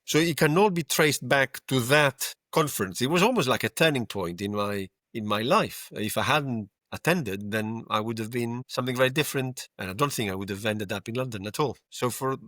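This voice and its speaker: A man in his fifties from Greece with a Greek accent, a lawyer telling his story in a measured, reflective way as a podcast guest. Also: mid-range voice, animated, fairly bright tone, no echo, clean studio sound. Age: 50s. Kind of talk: conversational podcast interview.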